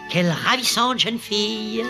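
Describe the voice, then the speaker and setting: A woman's voice: medium pitch, a little slow, vocal fry. Woman voicing a pompous cartoon penguin prince. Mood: gallant. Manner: formal, pompous, courtly. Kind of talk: French cartoon dub acting. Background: music.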